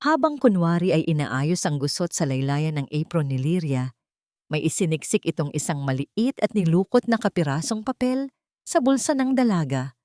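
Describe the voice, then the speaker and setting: Woman reading a dramatic audiobook story, slightly low voice, really dry sound. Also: sing-song, normal speed, dark tone, dry recording. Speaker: audiobook narrator. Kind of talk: dramatic scripted story narration.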